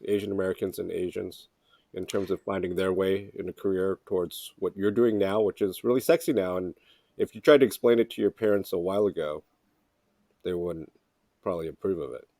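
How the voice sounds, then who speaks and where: A man's voice: deep voice, a little fast, warm tone, dry recording. A man asking questions conversationally as a podcast interviewer.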